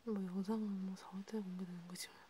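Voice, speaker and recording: A woman playing a sympathetic vampire counselor in a whispered ASMR role play, breathy and intimate, talking casually and haltingly. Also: mid-range voice, level tone, mellow, slight background noise, natural voice. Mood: soft, reflective. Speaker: ASMR creator.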